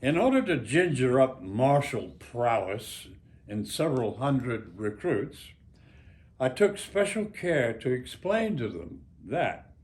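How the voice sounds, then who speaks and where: A man in his nineties with an American accent and a mid-range pitch. He speaks slowly and deliberately, breaking sentences into short phrases with pauses, as an author reading his own writing aloud. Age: 90s. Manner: halting, deliberate, pausing between short phrases. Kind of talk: reading an author's note aloud to a small gathering.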